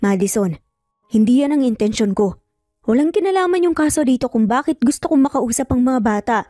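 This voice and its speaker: Woman reading as an earnest, gentle male lawyer, soft-toned. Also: a little high, expressive, brisk, no echo, faint music behind, lightly acted. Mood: pleading. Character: earnest male lawyer, pleading estranged father.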